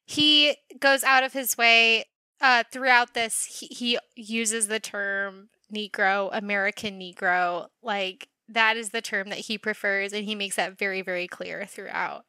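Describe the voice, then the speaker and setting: Woman podcast cohost, sardonic n emphatic, real bright voice, dry close sound. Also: medium pitch, fairly even intonation, unhurried, clean tone, dry recording. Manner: sardonic, emphatic, swears freely. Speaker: podcast co-host. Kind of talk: casual book discussion.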